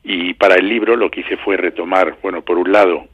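A middle-aged or older man from Madrid with a Castilian accent, answering radio interview questions in a halting, measured way, searching for words. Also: sits low, steady tone, dry recording. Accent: Castilian Spanish from Madrid. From Madrid, Spain. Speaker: journalist and novelist, interview guest. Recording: muffled phone line into radio show.

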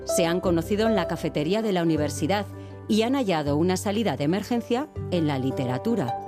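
Lower-voiced woman announcer over music. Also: expressive, fast talker. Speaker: radio announcer. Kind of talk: scripted narration. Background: background music.

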